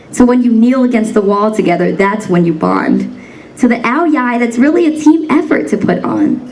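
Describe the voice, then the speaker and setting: Young woman, spoken word poet, rhyming cadence, fairly high voice, distant mic, faint noise. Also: a little mellow. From United States. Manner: rhythmic rhyming cadence, emphatic and defiant. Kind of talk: spoken word poetry performance. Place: banquet hall stage.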